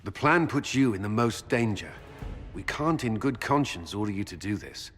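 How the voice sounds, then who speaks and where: An actor playing a firm, blunt and cautious military commander with a husky voice in a fantasy video game. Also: male voice, lowish voice, lively intonation, normal speed, fairly bright tone, faint music behind. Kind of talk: dramatic video game acting. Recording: long hall reverb.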